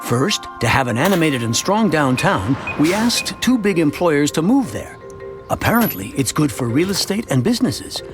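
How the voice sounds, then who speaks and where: Expressive, higher-voiced suburban family man. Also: normal speed, over music. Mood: upbeat, proud. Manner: conversational, expressive storytelling. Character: chatty, earnest suburban family man telling his town's story. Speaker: narrator. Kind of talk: scripted first-person narration for an animated educational short.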